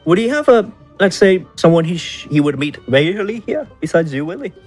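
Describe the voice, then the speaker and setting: A man playing a bard in a tabletop game, improvising in character with courteous, halting, mock-formal phrasing. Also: high voice, very animated, darkish tone, faint music behind.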